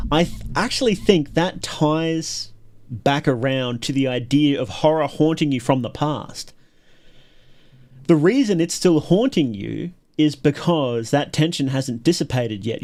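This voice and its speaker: A man's voice: fairly high pitch, a little mellow. A man hosting a livestream, giving casual, enthusiastic reaction commentary with emphatic repetition. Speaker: livestream host reacting to videos.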